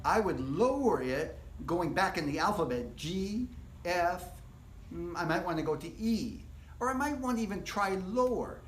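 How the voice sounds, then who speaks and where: A man teaching a casual live guitar workshop, explaining things step by step in a relaxed, rambling way. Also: sits high, normal speed. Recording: echoey room.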